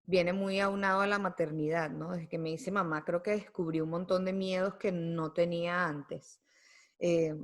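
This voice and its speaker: A woman guest on a podcast, speaking thoughtfully with many fillers and tag questions. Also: fairly low pitch. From United States. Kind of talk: reflective conversational interview.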